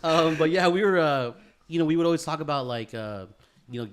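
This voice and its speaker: A man's voice: fairly high pitch, animated, a little fast, slight background noise. A man hosting a podcast in casual, crude comedic banter, slangy and profane, often repeating short words as he goes. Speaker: podcast host.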